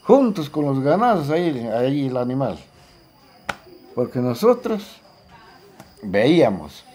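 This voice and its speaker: An older man with a Peruvian accent recalling the past informally, haltingly and with repeated phrases. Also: mid-range voice, big pitch swings, mellow. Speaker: local resident giving testimony. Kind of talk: casual spoken reminiscence.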